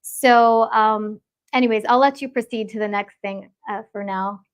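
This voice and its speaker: A woman's voice: on the high side, steady tone, normal speed, smooth. A woman guest in an online discussion, speaking hesitantly and thoughtfully with many fillers, over a video call. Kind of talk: casual discussion.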